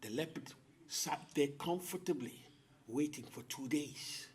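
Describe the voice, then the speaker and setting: A man telling a folktale as host, with theatrical, deliberate phrasing and dramatic pauses. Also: fairly high pitch, darkish tone, slight background noise. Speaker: storyteller, host. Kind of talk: oral folktale storytelling to an audience.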